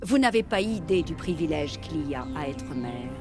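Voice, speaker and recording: A woman's voice: sits low, lively intonation, normal speed. A woman playing a proud alien matriarch in a video game, solemn and grandiose. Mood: cold, imperious. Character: proud, imperious alien matriarch, cold and fervent. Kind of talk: dubbed video game dialogue. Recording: loud music behind the voice.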